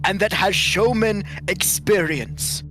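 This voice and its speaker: A man's voice: high voice, takes it slowly. A man chatting casually as a player in a tabletop roleplaying game, with music behind him.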